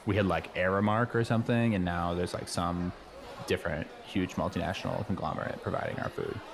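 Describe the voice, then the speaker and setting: Casting: talkative, earnest high-school kid, fairly deep voice, loose casual improv comedy delivery. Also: male voice, fairly even intonation, fairly quick, vocal fry, noisy background. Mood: chatty. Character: chatty, nosy, friendly teenage schoolboy showing newcomer around. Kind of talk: improvised comedy dialogue, naturalistic casual chat.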